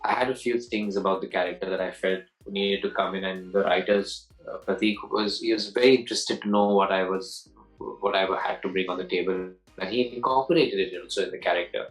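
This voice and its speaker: A man's voice: sits low, fairly even intonation, a little fast, faint music behind, low-quality recording. A man in his forties, an actor answering interview questions in a loose, rambling way, often restarting and repeating words, with easy laughs. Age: 40s.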